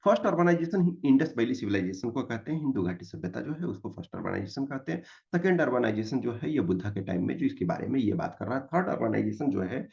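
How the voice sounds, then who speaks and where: A man's voice: medium pitch, expressive, quick pace, creaky, few pauses, a little mellow, dull audio. A man with an Indian accent giving an explanatory exam-prep lecture, mixing Hindi and English and repeating key points didactically. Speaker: exam-prep history teacher.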